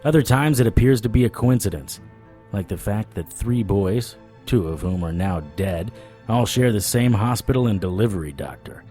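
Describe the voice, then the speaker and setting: An actor playing a wry, stubborn occult investigator in an audio drama, talking and narrating in a conversational noir style. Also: male voice, on the low side, expressive, normal speed, warm tone, faint music behind, lightly acted. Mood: brooding, musing.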